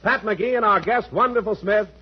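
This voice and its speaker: A man's voice: high voice, moderate pace. An American man announcing and playing straight man on a 1940s radio comedy show. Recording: noisy lo-fi 1940s radio broadcast.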